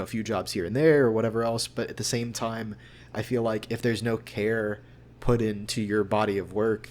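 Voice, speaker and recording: An American man from central Arkansas chatting casually in an interview, thoughtful and rambling as he circles back on his phrasing. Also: lowish voice. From central Arkansas, United States. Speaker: voice actor being interviewed.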